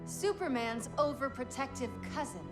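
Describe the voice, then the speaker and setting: A high-voiced, defiant young superheroine. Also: female voice, expressive, moderate pace, roomy sound, music in the background. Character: fiery, defiant young alien superheroine, protective of her cousin. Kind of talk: video game cutscene voice acting.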